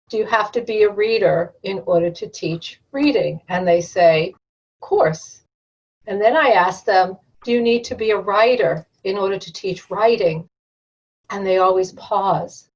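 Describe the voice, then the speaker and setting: A woman's voice: mid-range voice, far from the mic. A woman guest on an education podcast shares her thoughts in a thoughtful, anecdotal way. She sounds set back from the mic. The audio is dull and lo-fi. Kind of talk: podcast interview.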